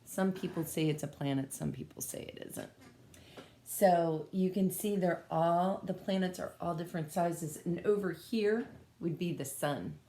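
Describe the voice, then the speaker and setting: A woman reading a picture book aloud as a teacher, pausing to explain and put questions to young children. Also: lowish voice, mellow. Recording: echoey room sound.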